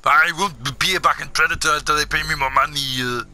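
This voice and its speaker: A man giving excitable, in-character gameplay commentary, shouting taunts and boasting as he plays. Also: on the high side, a little fast. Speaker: gaming video host.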